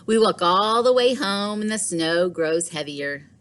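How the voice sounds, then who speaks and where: A woman teacher reading a storybook aloud to children in an expressive way, with deliberate dramatic pauses. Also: medium pitch.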